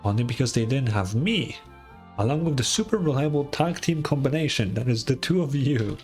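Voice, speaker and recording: Man acting out a cocky game inventor, grandiose, breezy, overconfident, mid-pitched, music underneath. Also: animated, brisk, darkish tone, lightly acted. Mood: grandiose, confident. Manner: grandiose, breezy, self-assured. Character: boastful, overconfident schemer, self-styled moon-goddess devotee and inventor.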